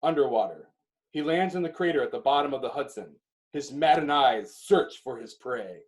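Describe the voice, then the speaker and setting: A man reading screenplay directions as dramatic narration, in emphatic clipped phrases with pauses. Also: mid-range voice, lively intonation.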